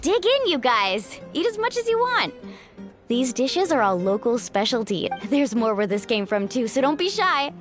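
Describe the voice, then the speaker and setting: A woman's voice: sits high, very animated, moderate pace. A woman voicing a confident, encouraging young warrior leader in a video game. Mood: upbeat. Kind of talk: video game character acting. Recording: music behind the voice.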